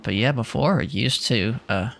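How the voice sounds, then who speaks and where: A man's voice: medium pitch, moderate pace, creaky, fairly bright tone. A man giving casual, joking gameplay commentary in informal, bro-ish slang. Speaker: game streamer.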